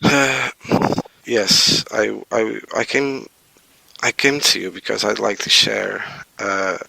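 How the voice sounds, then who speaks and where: A man, his low voice gravelly yet bright at the edges. He talks as a podcast guest, hesitant, stopping and restarting as he looks for words. The sound is close and dry. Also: slow, no room sound, low-quality recording. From Portugal. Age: 40s. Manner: hesitant, with many fillers and restarts. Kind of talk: casual interview talk.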